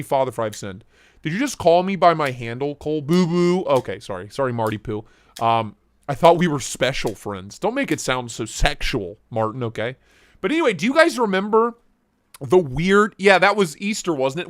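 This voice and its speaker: A man's voice: mid-range voice, no room sound. A man hosting a casual live stream, joking around and greeting viewers by name, his voice starting to go. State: losing his voice.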